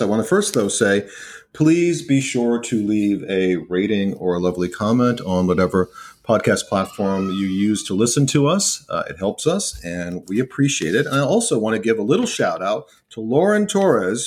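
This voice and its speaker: A man's voice: lowish voice, sing-song, moderate pace. Guy hosting a podcast, chatty, voice goes up and down a lot. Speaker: podcast host.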